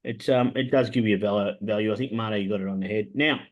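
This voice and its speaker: A man with an Australian accent hosting a casual horse racing tips chat, pitching his picks with lots of "um" fillers. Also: quick pace, dull audio. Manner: animated salesman pitch, many "um" fillers. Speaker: racing tipster host.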